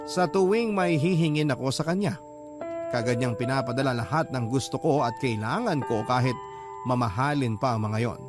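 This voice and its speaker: A man's voice: mid-range voice, natural voice. A man playing a regretful grown son, reading a sorrowful, reflective scripted narration for radio drama.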